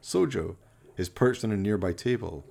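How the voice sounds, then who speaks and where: Male narrator, low pitch, husky rasp, arch and florid, dramatic radio play storytelling. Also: animated, moderate pace, darkish tone.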